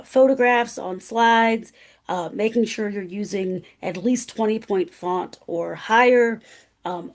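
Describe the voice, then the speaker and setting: A woman speaking as a guest presenter, a professor with a loud, carrying voice who talks emphatically, often checking in with "right?". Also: medium pitch. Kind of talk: conversational presentation.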